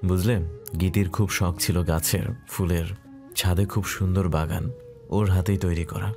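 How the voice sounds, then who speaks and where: Gentle well-off widower husband, played by a man, deep, soft-spoken, romantic audio drama. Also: fairly even intonation, measured pace, faint music behind. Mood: wistful.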